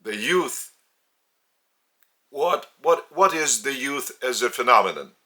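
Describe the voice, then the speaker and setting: Slow, earnest Lithuanian-accented man. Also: mid-range voice, animated, pushed and bright. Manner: slow, deliberate and earnest, with many pauses. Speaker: religious teacher. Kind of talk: spoken spiritual teaching. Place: indoors at home.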